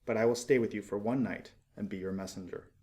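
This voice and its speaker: A man's voice: lowish voice, fairly even intonation, quick pace, a little mellow, voice pushed down, lightly acted. A man voicing a small, kind-hearted male swallow in a storybook, earnest and polite. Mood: reluctant, kind.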